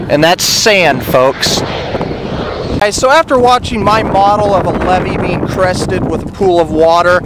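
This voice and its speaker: A man giving a casual on-location report outdoors by a river, rambling with many "uh" fillers. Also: high voice. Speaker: citizen reporter. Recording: outdoor recording with loud wind and river noise.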